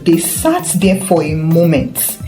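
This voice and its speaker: A woman's voice: deep voice, sing-song, slow pace, dark tone. A woman narrating a dramatic scripted story as a storyteller. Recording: roomy echo with faint music. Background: faint background music.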